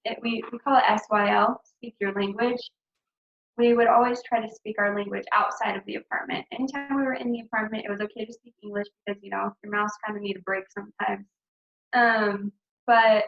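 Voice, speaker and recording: A young woman chatting casually over a video call, her talk loose and full of ums, likes and small laughs. The sound is roomy and echoing, and the audio is dull and muffled. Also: mid-range voice. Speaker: returned missionary speaker.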